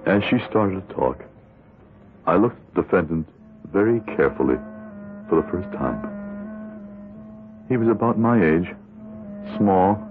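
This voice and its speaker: Very deep-voiced guilty man confessing. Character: guilty juror narrating his secret thoughts, outwardly respectable accountant. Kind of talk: 1970s radio drama first-person narration. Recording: muffled 1970s radio broadcast, music behind.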